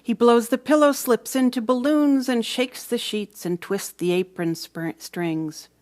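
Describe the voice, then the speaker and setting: A woman reading a storybook aloud for children, with dramatic emphasis and hushed moments. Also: on the high side, measured pace. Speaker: storybook reader. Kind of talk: expressive children's read-aloud narration.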